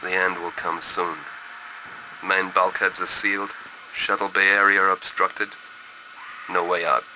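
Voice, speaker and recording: Doomed crewman, deep, weary voice. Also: level tone, unhurried, pauses often. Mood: fatalistic, resigned. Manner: weary, grim, terse. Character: doomed, fatalistic male crewman recording his last words. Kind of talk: video game audio log acting. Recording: noisy in-game recorder log.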